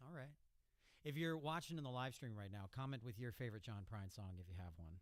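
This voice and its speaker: A man's voice: mid-range voice, fast talker, dry recording. A middle-aged man hosting a live music radio show, chatting casually into a close studio microphone. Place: small indoor studio. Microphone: close studio mic on a boom arm.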